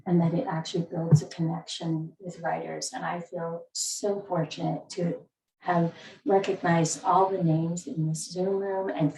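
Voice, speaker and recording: A woman's voice: lowish voice, a little mellow, roomy sound, distant mic, slight background noise, rough recording. A woman teacher speaking earnestly on a video call. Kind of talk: reflective introduction in a video call.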